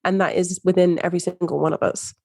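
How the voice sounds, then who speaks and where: A woman with a faint, neutral Scottish accent speaks as an interview guest, explaining her ideas in a measured, coaching way. Her voice sits a little low. The sound is close and dry. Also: level tone, gravelly, darkish tone, dry recording. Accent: soft, hard-to-place Scottish. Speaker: mindset coach, podcast guest.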